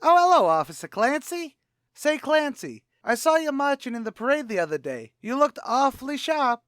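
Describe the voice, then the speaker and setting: A man's voice: extremely high pitch, sing-song, normal speed, a bit bright, dry recording, studio quality, exaggerated voice. A man playing a brash, slangy, bluffing tavern manager who wisecracks in an old-time radio comedy. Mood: wisecracking. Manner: wisecracking, mock-grandiose.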